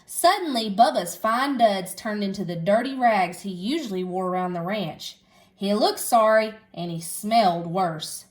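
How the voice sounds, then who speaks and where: A woman reading a children's story aloud in an expressive schoolteacher style. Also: mid-range voice, measured pace. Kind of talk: animated children's storybook narration.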